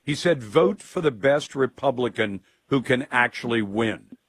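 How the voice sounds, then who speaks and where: A man hosts a call-in radio show, his voice hoarse from a cold. He talks in an opinionated, conversational way and cuts in on callers. The studio sound is close and dry. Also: mid-range voice, lively intonation, no room sound. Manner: opinionated, conversational, quick to jump in. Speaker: radio talk show host. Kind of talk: talk radio, taking calls. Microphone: studio microphone.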